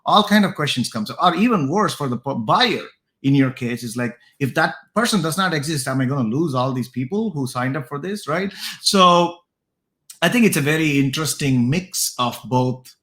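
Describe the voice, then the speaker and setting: A man's voice: a little high, lively intonation, normal speed, a bit bright. A man co-hosting a casual, analytical discussion, thinking aloud with hesitations and often ending his points with a questioning tag. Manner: hesitant, thinking aloud, frequent "right?" tags. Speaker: co-host of a tech discussion show.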